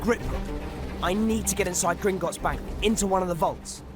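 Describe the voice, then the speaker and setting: A man's voice: sits high, normal speed, lightly acted. An actor playing a determined, earnest teenage boy wizard in a video game. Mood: firm. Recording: music behind the voice.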